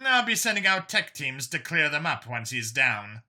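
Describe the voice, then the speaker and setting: A man voicing a businesslike alien police handler, brisk and procedural, in a sci-fi story. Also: fairly high pitch, sing-song, sharp tone, heavily acted.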